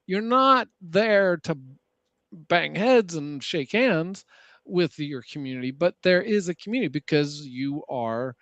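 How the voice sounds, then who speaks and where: A man in a casual chat who argues his point haltingly, often searching for words. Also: on the high side, expressive, a bit bright, no echo, lo-fi sound.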